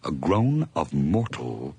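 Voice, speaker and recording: A feverish radio-drama killer. Low, breathy, slow, and very expressive. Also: male voice, no room sound, full character voice. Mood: hushed. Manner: swings from hushed whispers to high-pitched gleeful outbursts. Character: feverish, gloating male murderer narrating his crime. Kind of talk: 1980s radio drama acting.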